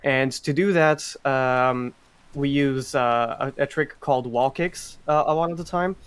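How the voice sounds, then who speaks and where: A man with a medium-pitched voice commentates on a video game speedrun. He explains things in a halting way, doubling back over his words. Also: clean tone.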